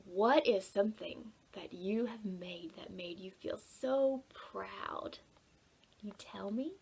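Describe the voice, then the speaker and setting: A woman's voice: mid-range voice, slow, vocal fry, darkish tone, husky. A woman leading storytime as a children's librarian, expressively singing and reading to young children in simple language. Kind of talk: singsong children's songs and picture-book read-aloud.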